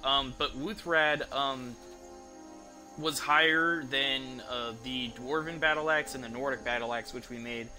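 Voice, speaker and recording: A young man giving casual gameplay commentary in a rambling, halting way, streaming from an indoor room. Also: mid-range voice, fairly even intonation, bright, forceful tone, rough recording. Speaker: game streamer. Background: game music.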